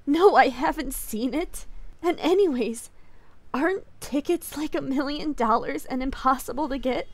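A woman's voice: high-pitched, normal speed, no echo. A woman voicing an exasperated, despairing young woman in a comic-book dub, guarded and sarcastic. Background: background music.

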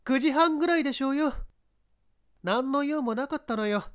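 A man voicing a brazen young woman, a haughty and sharp-tongued scout, in a dramatised audiobook. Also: very high-pitched, moderate pace, voice pushed up. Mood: brazen, scornful.

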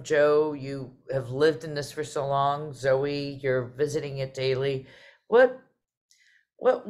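A middle-aged woman hosting a casual discussion on a video call, searching for her words as she goes. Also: low-pitched. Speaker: host, artistic director. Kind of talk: moderating a casual panel discussion. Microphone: video call microphone.

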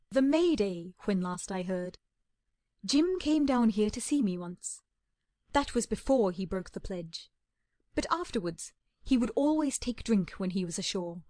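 A woman reading the part of a weary older spinster lady in an audiobook, speaking slowly and plaintively. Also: medium pitch, darkish tone, no room sound, clean studio sound, lightly acted. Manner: plaintive, slow and heartfelt.

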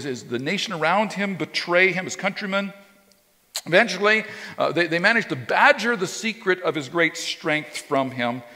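Animated pastor preaching in a reverberant church. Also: male voice, on the high side. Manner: conversational, animated, many restarts and repeated words. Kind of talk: sermon. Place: church stage with a drum kit behind, indoors. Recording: long reverb of a church hall.

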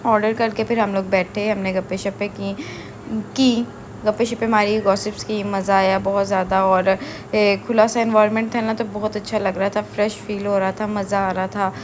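A woman's voice: medium pitch, level tone, moderate pace, a little hiss or hum. A young woman, chatting steadily as she narrates her salon vlog, leaning on filler phrases. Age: young adult. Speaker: salon owner and beauty vlogger. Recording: clear room ambience behind.

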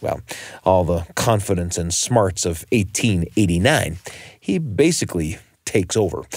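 A man's voice: on the low side, a little mellow, no echo, studio quality. A man hosting a radio show with scripted narration, leaning on words for emphasis.

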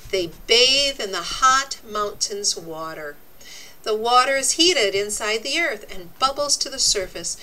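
A woman reading a nonfiction book aloud for young children, with deliberate, expressive, teacherly phrasing and explanatory asides. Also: medium pitch, a little hiss or hum, rough recording.

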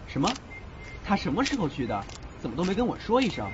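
A man playing a smitten, easily flustered young man in an audio drama, expressive, tender and a little exasperated. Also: sits high, fast talker, background noise. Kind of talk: audio drama acting with inner monologue. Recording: muffled lo-fi audio drama over music.

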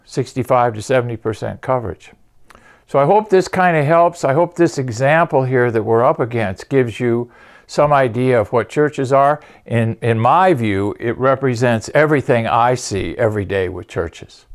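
An older man in his sixties teaches in an informal lecture style, with a medium-pitched voice. He explains things point by point like an instructor at a chalkboard, often checking that the listener follows. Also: normal speed. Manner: explanatory, punctuates points with "okay". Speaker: acoustics company presenter and instructor. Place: indoor studio, at a chalkboard.

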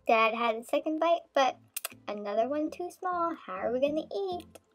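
A woman's voice: sits high, lively intonation, normal speed, highs cut off. A woman voicing a cheerful young dog who narrates her life on a sailboat in a bubbly, punny way, with pirate talk. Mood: playful. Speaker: vlog narrator. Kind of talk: scripted playful narration. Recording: music playing under the voice. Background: music.

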